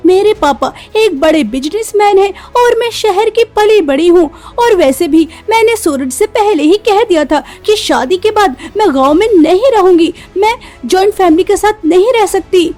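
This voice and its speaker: A snobbish young city wife from a cartoon family tale, acted out by a woman. Her voice is very high yet mellow, and she talks in an insistent, complaining way, looking down on village life. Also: animated, moderate pace, hardly any pauses. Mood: haughty defiant. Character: haughty modern city-bred daughter-in-law, young woman. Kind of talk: Hindi cartoon story voice acting.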